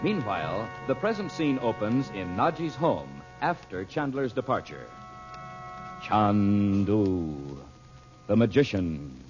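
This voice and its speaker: A man's voice: fairly low pitch, very animated, slow pace. A man narrating the recap of an old radio serial with dramatic announcer delivery. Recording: dull old radio recording with music.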